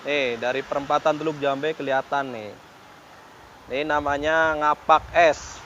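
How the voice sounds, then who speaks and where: A chatty man hosting a street-food vlog, voice pitched a little high and eager. He keeps the vendor talking with quick follow-up questions. The audio is slightly muffled, with faint traffic in the background. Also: steady tone, bright, forceful tone. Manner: chatty, keeps prompting with "ya, Bang?". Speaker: food vlogger interviewing a vendor. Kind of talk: casual street-food interview. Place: roadside drink stall by a busy crossroads.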